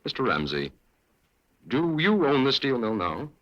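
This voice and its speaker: An actor playing a calm, polite detective who asks probing questions in an old radio drama. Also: male voice, mid-range voice, measured pace, dull audio. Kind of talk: 1940s radio acting.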